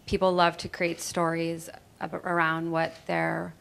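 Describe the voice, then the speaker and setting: Female panelist, lowish and gravelly, relaxed. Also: a little slow. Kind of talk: panel discussion.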